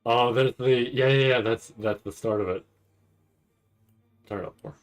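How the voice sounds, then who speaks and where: A man doing casual livestream reaction commentary, rambling and stammering over repeated words with a nervous, excitable manner. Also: medium pitch, moderate pace. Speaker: streamer reacting to a live anime event.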